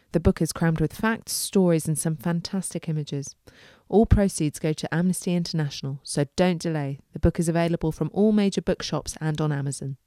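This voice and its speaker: Woman podcast host, very low mellow voice, dry close sound, scripted presenting. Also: no room sound.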